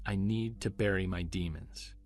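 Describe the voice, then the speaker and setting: An actor playing a driven, defensive Bigfoot researcher, delivering a deliberate, emphatic scripted monologue in the manner of a documentary. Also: male voice, deep voice, darkish tone, a little hiss or hum, lightly acted. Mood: weary, confessional. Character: driven, defensive male Bigfoot researcher recording his case. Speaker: narrator of a found-tape audio drama.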